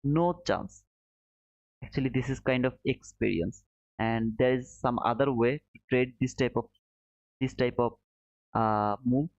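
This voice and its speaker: A man's voice: creaky, no room sound. Man, chatty livestream host. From Bangladesh. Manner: friendly and chatty, calls viewers brother, says boom. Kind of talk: casual live-stream commentary, reading viewer chat. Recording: dull, slightly muffled, dry.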